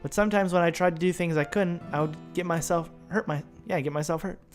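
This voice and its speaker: A man voicing a sweet, clumsy teenage girl from a visual novel game, speaking softly and earnestly. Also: on the high side, fast talker, soft background music, natural voice. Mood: wistful.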